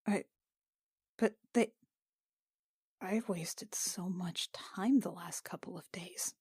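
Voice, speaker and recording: A woman's voice: on the low side, slow pace, darkish tone, breathy edge, no echo, clean studio sound, pitched below the performer's own voice, heavily acted. A woman playing an anxious, guilt-ridden, stubborn healer in an improvised tabletop game, arguing emphatically and swearing. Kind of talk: in-character improvised tabletop roleplay.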